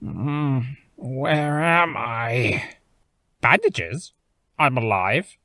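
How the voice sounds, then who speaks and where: A man's voice: mid-range voice, animated, drawn-out words, bright, forceful tone, no echo, clean studio sound, full character voice. An actor playing a wounded magical creature, groggy and devoted to his beloved. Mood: groggy, bewildered.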